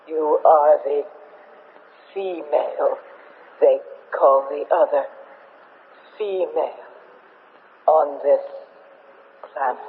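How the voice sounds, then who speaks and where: An actor playing a dying alien in a 1970s radio drama, pleading to survive in halting, broken phrases. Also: male voice, on the high side, vocal fry, breathy edge, full character voice. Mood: halting, desperate. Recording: muffled, noisy vintage radio recording.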